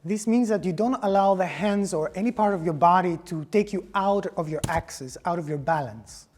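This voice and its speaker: A man's voice: high-pitched, moderate pace, a little mellow. A man with a Greek accent, a choreographer giving scripted tutorial instruction. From Greece.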